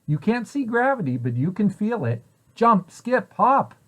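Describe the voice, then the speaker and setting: A man reads a picture book aloud for young children in a lively, swooping voice that sits a little high. His delivery is that of a storyteller, setting out each question and then its answer clearly. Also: unhurried. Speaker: preschool site director reading aloud.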